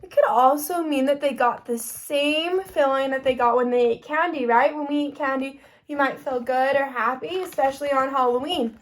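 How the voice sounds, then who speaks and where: A woman teaching kindergarten through an interactive picture-book read-aloud, expressive, pausing to ask questions and explain. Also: high voice.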